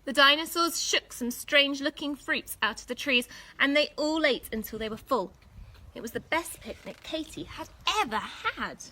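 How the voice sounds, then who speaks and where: A woman reading a storybook aloud for young children in an expressive, deliberate teacherly way. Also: sits high. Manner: animated, deliberate, pausing to ask questions.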